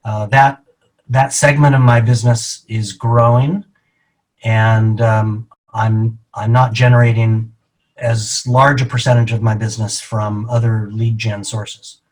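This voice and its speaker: A middle-aged man being interviewed as a real estate agent, explaining his answers in a measured, deliberate way. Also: lowish voice, level tone, low-quality recording.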